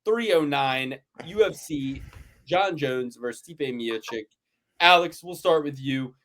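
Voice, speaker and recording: A man hosting a casual fight-betting podcast, playful and animated, swearing as he teases his co-hosts. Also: fairly high pitch, a little slow. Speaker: podcast host.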